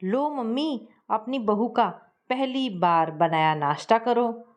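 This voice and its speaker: A woman's voice: fairly high pitch, slow pace. A woman voicing a playful young married son who teases his mother. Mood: teasing. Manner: teasing.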